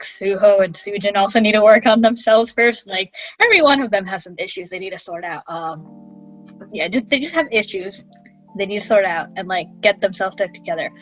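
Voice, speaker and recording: A slightly muffled, lo-fi recording of a young woman with a medium-pitched voice. She talks casually as a podcast co-host, with faint music behind her. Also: fairly bright tone. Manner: informal, conversational.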